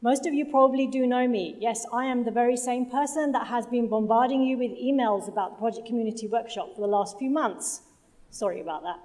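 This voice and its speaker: Higher-voiced woman giving a talk. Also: brisk, a little mellow. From London, UK. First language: Punjabi. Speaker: conference speaker, project manager. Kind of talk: conference presentation. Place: conference hall stage.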